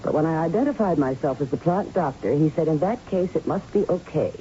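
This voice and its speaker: A woman's voice: sits low, animated, fairly quick, dark tone. An actress playing an earnest, principled young female plant doctor in a radio drama, speaking in a firm, formal, precise manner. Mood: indignant, resolute. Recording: muffled, faintly noisy old radio recording.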